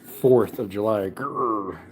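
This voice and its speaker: A man's voice: slow, a little hiss or hum. A man in his thirties hosting a casual livestream from his home man cave, rambling and reading out viewer comments. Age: 30s. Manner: high baby-talk when calling his dog. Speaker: livestream host.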